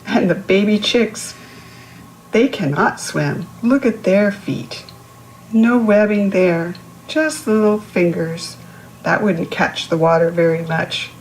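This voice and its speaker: A woman's voice: mid-range voice, takes it slowly, slight background noise, low-quality recording. A woman reading a picture book aloud to young children, instructive, posing questions to the listener and explaining words. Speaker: children's read-aloud host.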